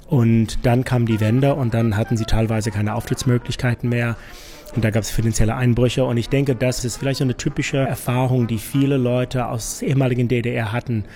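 A man's voice: mid-range voice, steady tone, fairly quick, mellow, soft background music. A man from New York with an American accent, explaining informally in an interview as a non-native speaker with slightly unidiomatic phrasing. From New York City, USA. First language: English. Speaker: concert series organiser and interpreter.

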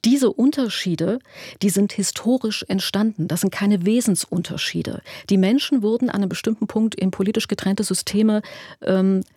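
A woman from Leipzig speaks as an interview guest, earnest and reflective, pausing with small fillers as she thinks. Her voice is mellow and warm, and the recording is dry and close. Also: medium pitch, breathy edge, no room sound. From Leipzig, eastern Germany. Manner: thoughtful, earnest, frequent hesitations. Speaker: guest, moderator and author. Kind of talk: radio interview conversation.

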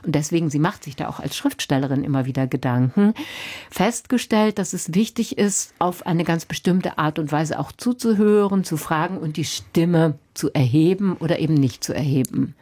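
A woman critic explaining a book in a thoughtful, measured way on a radio discussion. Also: low voice, dark tone.

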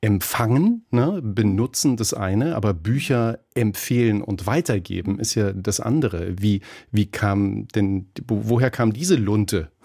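Low, mellow male radio host. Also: a little slow, few pauses, no echo, studio quality. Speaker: radio talk show host. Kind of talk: conversational radio presenting.

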